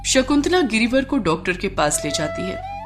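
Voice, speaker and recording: Woman narrator, scripted moral story, storytelling delivery, clear music behind. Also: fairly high pitch, big pitch swings, moderate pace.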